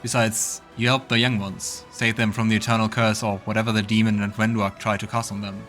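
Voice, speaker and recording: A man's voice: fairly low pitch, level tone, brisk, a bit bright, faint music behind, lightly acted. A man voicing a resolute, serious male companion fighter with earnest delivery in a game. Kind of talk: voiced game dialogue.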